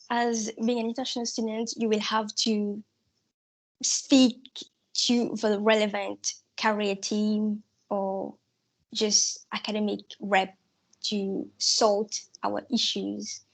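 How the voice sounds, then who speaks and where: Slow, halting young woman with a creaky voice. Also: a little high, steady tone. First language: not English. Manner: halting, searching for words. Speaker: candidate in a student election. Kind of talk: hesitant answers at an online hustings.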